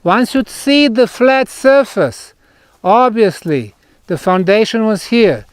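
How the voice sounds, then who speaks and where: Slow, high-pitched male tour guide. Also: lively intonation, clean tone, no echo. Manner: emphatic, deliberate, short phrases with pauses. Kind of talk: on-site explanatory tour talk. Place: outdoors at a megalithic site.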